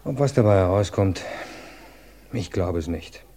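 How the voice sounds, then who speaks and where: A man's voice: low voice, animated, unhurried. An actor playing a weary investigator doubting his own case in a radio drama, resigned and thoughtful. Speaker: investigating lawyer. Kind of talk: radio play acting.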